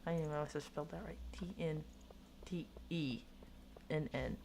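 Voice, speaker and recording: A woman's voice: deep voice, moderate pace, a little mellow, a little hiss or hum. A woman from Oklahoma with a light Oklahoman accent, chatting casually with her chat while gaming, rambling and giggling at herself. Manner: rambling, self-mocking, giggly. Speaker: streamer.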